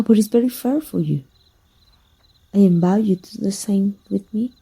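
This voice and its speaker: Villainess, played by a woman: possessive, teasing, breathy ASMR roleplay delivery, lowish pitch, unhurried pace. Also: warm tone, exaggerated voice, rough recording. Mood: flirty, teasing. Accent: Mexican. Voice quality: husky, breathy. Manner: slow, breathy, teasing murmur. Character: jealous, seductive female villain, possessive and teasing. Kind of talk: intimate ASMR roleplay acting.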